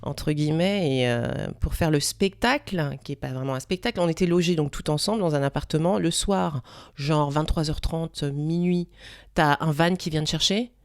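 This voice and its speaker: A woman guest telling an anecdote in casual, slangy talk-show style, animated and self-mocking. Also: deep voice, moderate pace.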